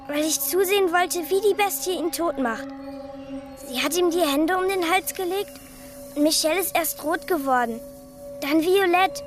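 A female actor playing a chatty little girl in a radio drama, telling things in a matter-of-fact, childlike way, eerily indifferent to horror. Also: very high voice.

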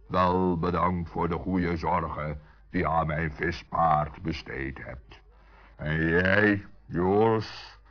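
A man playing a calm, kindly but commanding giant in a children's radio play, speaking in a measured, authoritative way. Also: sits low, exaggerated voice. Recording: dull, muffled old radio recording.

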